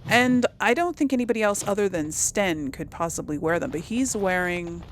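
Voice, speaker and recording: A woman's voice: mid-range voice, animated, normal speed, a little hiss or hum. A woman giving casual, rambling commentary as she plays a video game, thinking aloud to her viewers. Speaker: gamer hosting a role-play let's play.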